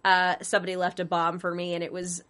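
Brief: young woman guest, bright forceful tone, laughs easily, rambles and restarts. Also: lowish voice, completely flat delivery, fast. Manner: giggly, self-deprecating, rambling with restarts. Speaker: voice actress guest. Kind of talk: casual interview chat.

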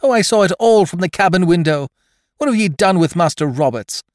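A man's voice: expressive, a little fast, a little mellow, no echo, studio quality. A man voicing a brave, defiant young woman held by pirates, crying out boldly in an adventure audiobook. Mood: horrified accusing. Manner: crying out boldly, raised pitch.

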